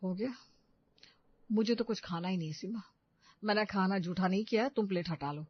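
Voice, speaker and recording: A woman voicing a weary, sarcastic husband who grumbles and gets embarrassed, in an animated family story. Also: mid-range voice, moderate pace, breathy edge, muffled recording, natural voice. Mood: sullen.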